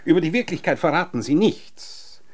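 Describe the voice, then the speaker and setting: An actor playing an eccentric, pompous scientist in an absurd radio drama, lecturing theatrically. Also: male voice, on the high side, expressive, normal speed, a little mellow. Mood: solemn, poetic. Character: eccentric, naked time-theorist scientist back from apparent death.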